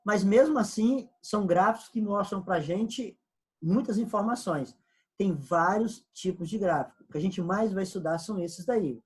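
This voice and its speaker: A man's voice: high-pitched, moderate pace. Brazilian guy teaching econ online, walks through it step by step, repeats stuff. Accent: Brazilian Portuguese. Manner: explains step by step, repeats key points, says "ó" a lot. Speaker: economics teacher. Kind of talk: recorded online class lecture.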